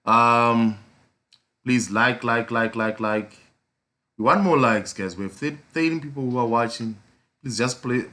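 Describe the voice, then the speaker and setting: A man with a hoarse voice from a flu, hosting a casual live trading stream with a South African accent, rambling with lots of fillers and repeated pleas. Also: mid-range voice, pushed and bright. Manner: repetitive pleading, frequent fillers.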